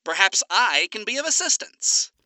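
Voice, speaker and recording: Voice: medium pitch, huge pitch swings, a little slow, sharp tone, no echo, pitched above the performer's own voice, heavily acted. A narrator playing a suave radio demon. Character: suave radio demon with old-time polish.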